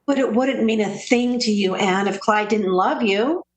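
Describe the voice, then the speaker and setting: A woman's voice: medium pitch, normal speed, close to an everyday voice. An actress playing an anxious, sensible woman pleading with her friend, in 1940s radio drama style. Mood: pleading, gentle. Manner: pleading, cautious.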